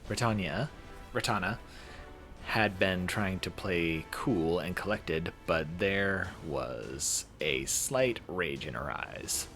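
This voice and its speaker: Low-voiced man streaming a visual novel. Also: takes it slowly, a bit bright, soft background music. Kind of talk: visual novel read-aloud with casual commentary.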